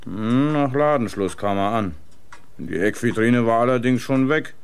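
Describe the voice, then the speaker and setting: An actor playing a gruff, plain-spoken master butcher in a German radio crime play, chatting in a grumbling manner. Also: male voice, mid-range voice, normal speed, lightly acted.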